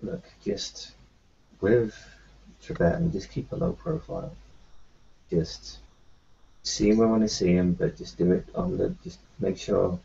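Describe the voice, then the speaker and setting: Raspy, gravelly man, low pitch, halting reassurance, recorded lo-fi. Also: dark tone. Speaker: player in a tabletop roleplay. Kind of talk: in-character roleplay conversation. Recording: lo-fi muffled recording.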